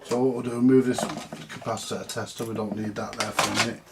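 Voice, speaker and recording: A man's voice: on the low side, level tone, fairly quick. A man with a British accent giving casual, hands-on repair commentary, thinking aloud in short, plain-spoken remarks as he works. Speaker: vintage radio repairer. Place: indoors at a repair workbench. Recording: noticeable background noise with clicks.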